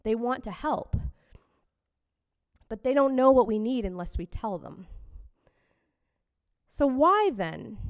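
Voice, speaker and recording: A woman minister giving a personal, reflective sermon in a measured way. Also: medium pitch. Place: fellowship hall. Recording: long hall reverb.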